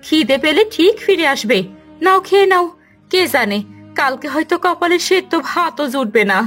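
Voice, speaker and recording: Very high-pitched scolding village housewife. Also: female voice, lively intonation, moderate pace. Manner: scolding, sharp-tongued, lamenting. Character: harried, bitter poor village housewife and mother. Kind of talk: Bengali cartoon voice acting. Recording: music behind the voice.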